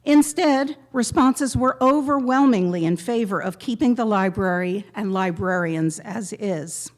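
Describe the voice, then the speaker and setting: A woman giving a prepared memorial tribute to a gathering, speaking in measured, deliberate phrases with pointed pauses. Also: medium pitch. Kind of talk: prepared eulogy read aloud to a gathering.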